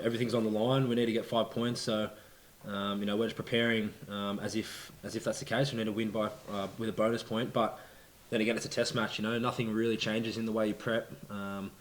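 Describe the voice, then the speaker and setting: A young rugby player answering press questions earnestly, with many fillers. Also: male voice, on the low side, little pitch movement, fairly quick, a little hiss or hum.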